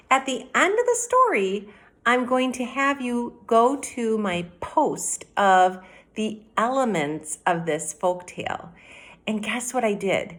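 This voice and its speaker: A middle-aged woman, a teacher giving a read-aloud mini lesson, explaining things directly to children in an animated way. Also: on the high side. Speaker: elementary teacher. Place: indoor room at home by a window.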